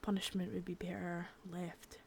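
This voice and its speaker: A woman voicing a sadistic, menacing female boss in an ASMR roleplay, whispering softly with spoken threats between. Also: lowish voice, level tone, normal speed, creaky, slight background noise. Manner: taunting and threatening.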